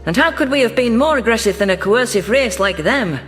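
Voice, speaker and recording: A male narrator with a notably high voice tells a first-person science fiction story. The delivery is scripted storytelling, with music playing softly underneath. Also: animated, a little fast.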